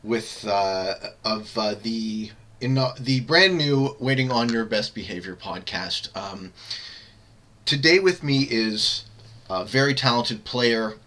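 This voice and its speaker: A man hosting a casual gaming podcast, talking in a halting way with many filler sounds while he sets up an online game. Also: on the low side, expressive, pushed and bright. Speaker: podcast host.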